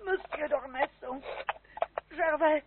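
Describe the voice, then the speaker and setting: A woman's voice: sits high, takes it slowly. An actress playing an agitated aristocratic Frenchwoman in a radio play, exclaiming and fretting. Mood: anxious pleading. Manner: exclamatory, breathless interjections. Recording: noisy, tinny old radio drama recording.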